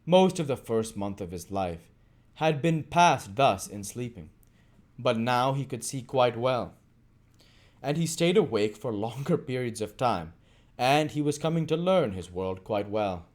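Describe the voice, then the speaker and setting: A man reading a novel aloud as an amateur audiobook narrator, phrasing deliberately and occasionally stumbling over a word. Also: medium pitch, expressive, a little mellow.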